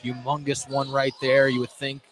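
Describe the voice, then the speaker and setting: Man calling basketball over clear gym noise. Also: mid-range voice, monotone, moderate pace. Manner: casual, loose phrasing with repeated words. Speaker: play-by-play sports announcer. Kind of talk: live high school basketball radio commentary. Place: indoor high school gym during a game. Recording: live gym broadcast, clear background noise.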